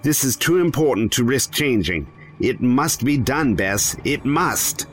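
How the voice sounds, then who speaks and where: A man's voice: mid-range voice, expressive, a little slow, soft background music, close to an everyday voice. A man acting a grave, righteous, weary time traveller in an audio drama, speaking with earnest emphasis. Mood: stern, urgent.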